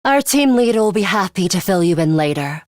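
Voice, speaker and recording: A woman's voice: medium pitch, animated, fairly quick, darkish tone, dry recording, studio quality, lightly acted. A woman voicing a cold, ruthless young female fighter in an English video game, composed, blunt and commanding, with a bloodthirsty streak. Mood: composed, serious.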